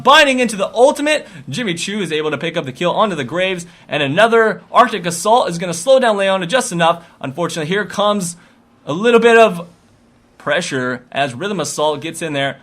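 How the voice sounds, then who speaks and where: Bright-voiced man calling game action. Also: on the high side. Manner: excitable, rising with the action. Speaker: esports commentator. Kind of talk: live video game play-by-play commentary.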